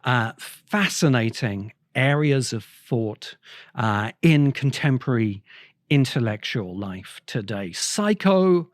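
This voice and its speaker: A man delivering a reflective essay-style lecture as a host, speaking in an erudite, deliberate way with pauses between phrases. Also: medium pitch, lively intonation, no room sound, studio quality. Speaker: host of a science fiction essay show.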